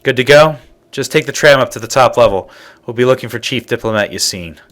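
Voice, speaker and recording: A man's voice: medium pitch, a little fast, a bit bright, lightly acted. A man playing a matter-of-fact space crew member in a video game, with clipped phrasing. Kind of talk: scripted video game dialogue.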